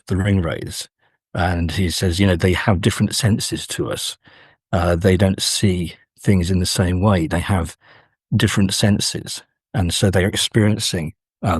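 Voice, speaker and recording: A man's voice: deep voice, slightly rough, no room sound, clean studio sound. A man speaking as an academic interview guest, in a scholarly, musing, stop-start way.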